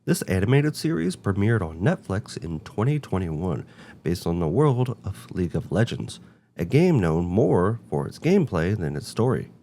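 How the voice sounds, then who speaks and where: Low-voiced man with lively, swinging intonation. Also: moderate pace, a little hiss or hum. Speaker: host of a video game and film review show. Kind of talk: semi-scripted review narration.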